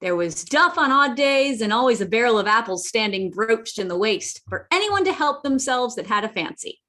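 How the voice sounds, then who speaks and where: A woman plays an earnest boy telling his sea adventure in a radio play. The narration is animated, with wide pitch movement. It sits a little on the high side. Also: normal speed. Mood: earnest, storytelling.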